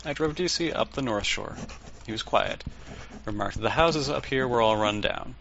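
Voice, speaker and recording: A man's voice: medium pitch, moderate pace, creaky. A man acting as a blunt, sardonic therapist with a dry, probing way of talking in an audio drama. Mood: clinical, dry. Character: blunt, sardonic, unorthodox therapist man, older than he looks. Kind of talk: audio drama dialogue and dictated case notes. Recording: muffled with loud background noise.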